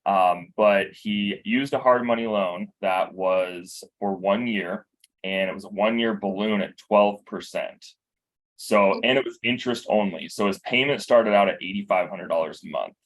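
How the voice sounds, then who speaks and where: A man from a bank giving a relaxed briefing, explaining loans with examples. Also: on the low side, normal speed, low-quality recording. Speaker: bank loan officer. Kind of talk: informal work briefing.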